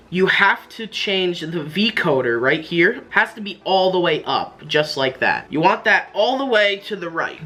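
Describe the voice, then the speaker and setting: A young man hosts a casual how-to tutorial in a bright, forward voice. He explains informally and often circles back to repeat his point. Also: high-pitched. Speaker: tutorial host.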